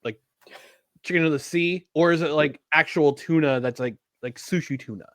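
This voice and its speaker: A young American man talks loosely on a podcast, his voice sitting a touch higher than most men's. He rambles, corrects himself and laughs at his own jokes. The sound is dry and close, with no room around it. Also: moderate pace, no echo. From United States. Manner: rambling, self-deprecating, laughs often. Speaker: podcast co-host. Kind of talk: casual podcast chat. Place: upstate New York.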